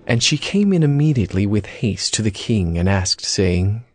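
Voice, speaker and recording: A man's voice: on the low side, expressive, normal speed, dry recording. Solemn male scripture narrator over music.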